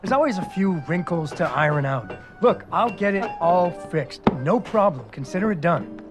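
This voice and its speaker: A man's voice: high-pitched, lively intonation, normal speed. Hopeful circus showman, swooping delivery. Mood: placating. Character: hopeful, smooth-talking father, struggling circus showman. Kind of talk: video game voice acting. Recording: music behind the voice.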